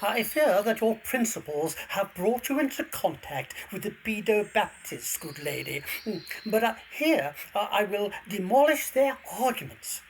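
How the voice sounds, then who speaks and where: A woman's voice: mid-range voice, sing-song, unhurried, a little hiss or hum, low-quality recording. A woman playing a zealous, haranguing male Baptist minister in a period audio drama, formal and preachy. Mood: argumentative.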